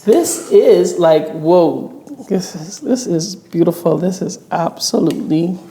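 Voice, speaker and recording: Low, mellow-voiced woman chef. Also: slow pace, slight background noise. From Bahamas. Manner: relaxed, explains while working, frequent mm-hmms. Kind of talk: cooking demonstration. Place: studio kitchen. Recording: echoey studio kitchen.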